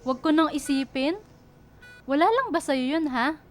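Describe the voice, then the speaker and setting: A woman's voice: high-pitched, animated, a little slow, soft background music. A woman playing a proud, caring young student girlfriend in a radio romance drama, speaking earnestly and pleadingly. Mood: hurt, reproachful.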